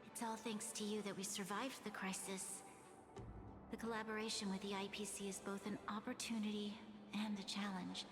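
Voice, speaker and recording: Woman acting as earnest young leader, mid-pitched, formal, measured, solemn, long reverb, game dialogue. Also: level tone, music in the background, natural voice. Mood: grateful, official. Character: earnest, dignified young female leader of a struggling nation. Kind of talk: scripted video game voice acting.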